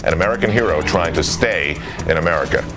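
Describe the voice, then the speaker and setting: A man hosting a TV interview segment, brisk and questioning. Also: deep voice, expressive, loud music behind the voice. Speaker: TV host.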